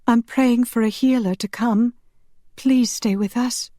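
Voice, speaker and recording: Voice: medium pitch, steady tone, normal speed, warm tone, no echo, studio quality, pitched above the performer's own voice, natural voice. A narrator voicing a tender, distraught young female angel with an earnest, pleading manner in an audiobook. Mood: tearful.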